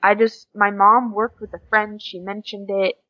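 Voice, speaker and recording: A woman's voice: medium pitch, flat delivery, normal speed, lo-fi sound. A teenage American woman chatting casually as an interview guest, informal and peppering her talk with "like". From USA, small town in Oklahoma. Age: teens. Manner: informal, frequent "like" as filler. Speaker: interview guest, exchange student. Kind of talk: casual chat.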